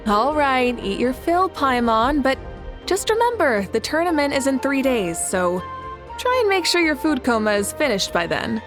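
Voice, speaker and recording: A woman voicing a self-assured, passionate female fire goddess in a video game, who explains things calmly and teases with easy humor. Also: sits high, moderate pace, over music. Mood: playful. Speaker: tournament host and judge.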